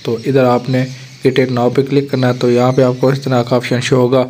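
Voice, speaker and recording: Pakistani male gaming host, flat delivery, chatty voice-over walkthrough. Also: mid-range voice, normal speed. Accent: Pakistani Urdu. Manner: chatty, repeats filler "matlab" often. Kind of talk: casual screen-recorded game tutorial. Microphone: voice-over on a screen recording.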